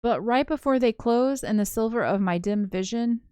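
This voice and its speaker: A woman reading a visual novel aloud as a let's play narrator, in an expressive first-person storytelling manner. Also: a little high, normal speed, clear, resonant tone. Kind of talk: live read-aloud of a story game, with casual asides. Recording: music behind the voice. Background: game soundtrack music.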